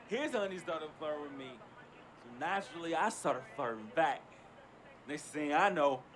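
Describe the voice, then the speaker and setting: Cocky young sidekick, high-pitched, drawling, faint noise behind. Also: male voice. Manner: boastful, laughing, slangy swagger. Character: cocky flashy young male hanger-on friend. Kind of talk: video game cutscene acting.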